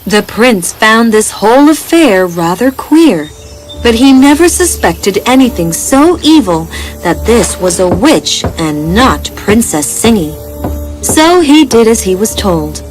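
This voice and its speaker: Slow, expressive woman storyteller over music. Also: a little high, poor audio. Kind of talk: scripted bedtime story narration. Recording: music behind the voice. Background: background music.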